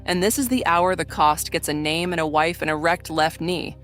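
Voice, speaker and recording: A woman giving solemn, measured, literary narration for a scripted audio drama. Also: sits low, faint music behind.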